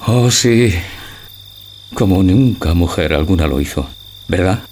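A very low-voiced old gentleman acted by a man declaims like a stage lover, melancholy and scheming. The audio drama has a noisy night background with chirping crickets. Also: expressive, a little slow. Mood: secretive, resolute. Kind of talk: theatrical audio drama acting.

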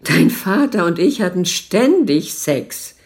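An older mother, stubborn and sharp-tongued. She talks bluntly and scoldingly, with no shame at all, in the natural style of a radio play. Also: on the low side, sing-song, slow, heavily acted. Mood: provocative, frank. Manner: brusque, scolding, unembarrassed. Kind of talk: naturalistic radio drama dialogue.

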